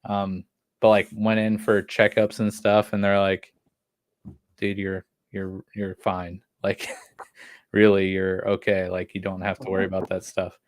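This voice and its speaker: A man in his thirties talks in a low, dry, close voice. He co-hosts a casual podcast chat and rambles, leaning on fillers as he goes. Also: monotone, a little slow, no room sound. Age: 30s. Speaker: podcast co-host.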